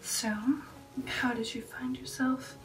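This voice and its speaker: A woman voicing a refined, affectionate female vampire in an intimate ASMR roleplay, with a breathy whisper and a genteel manner. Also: sits high, little pitch movement, takes it slowly, distant mic, soft background music, poor audio. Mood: coy, curious. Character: refined, affectionate female vampire recounting an old shipboard romance. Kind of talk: ASMR roleplay, personal attention.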